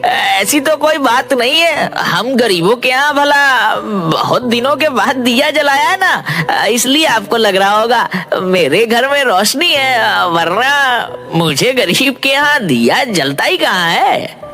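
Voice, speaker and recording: An actor voicing a poor, simple village man in an animated folk tale, folksy and pleading. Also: medium pitch, slow pace. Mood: humble. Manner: pleading, folksy, repeats a pet oath. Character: poor, timid, simple male village woodcutter.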